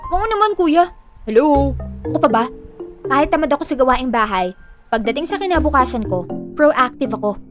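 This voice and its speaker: Teasing student kid sister played by a woman, bright-spirited sass in a high voice, audio drama. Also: expressive, brisk, pauses often, over music. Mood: sassy, proud. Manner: teasing, sassy. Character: cheeky, teasing young younger sister, a student. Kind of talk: Filipino audio drama acting.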